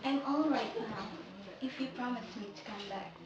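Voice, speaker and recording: A woman's voice: very high voice, measured pace, distant mic, muffled recording, a crowd in the background. An actress playing a distraught young woman sliding into madness, with a theatrical, declamatory delivery in an echoey room. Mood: hushed, fading. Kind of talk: melodramatic stage acting.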